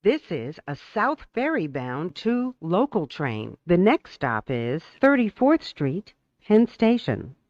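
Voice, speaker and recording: An announcer reads scripted subway announcements slowly and formally, with big swings in pitch. The words are measured and carefully enunciated. The sound is dry and somewhat dull. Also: big pitch swings, dry recording. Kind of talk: scripted automated transit announcements.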